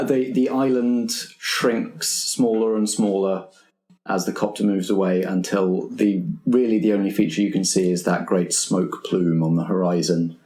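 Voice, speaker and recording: Male game master, fairly low voice, narrating a tabletop adventure, recorded in an echoey room. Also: darkish tone. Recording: roomy echo.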